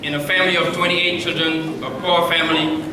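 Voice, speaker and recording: A man's voice: on the high side, little pitch movement, fairly quick. A young man from Senegal with a Senegalese accent, giving a rousing rally speech and calling out to the crowd. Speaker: campaign rally speaker. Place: large indoor reception hall. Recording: echoey hall from far off, loud crowd and noise. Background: cheering crowd.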